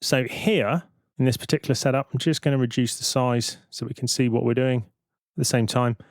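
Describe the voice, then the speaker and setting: A man giving an informal, step-by-step software demonstration as a tutorial presenter. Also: medium pitch, steady tone, a little fast, dry recording, clean studio sound.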